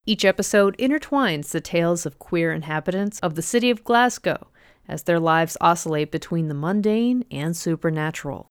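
A woman's voice: on the low side, expressive, moderate pace, smooth, no room sound, studio quality. A woman hosting a podcast, reading a scripted introduction to a story. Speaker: podcast host introducing stories.

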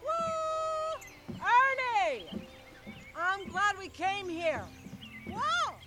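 A woman playing a tipsy, exuberant wife in an audio drama, calling out in whoops and drawn-out words. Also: very high voice, big pitch swings, background noise, heavily acted.

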